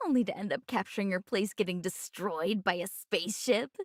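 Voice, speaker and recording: A woman's voice: mid-range voice, brisk, no room sound, clean studio sound, close to an everyday voice. An actress playing an amused but sympathetic young woman gossiping in an anime game. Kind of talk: English anime game voice acting.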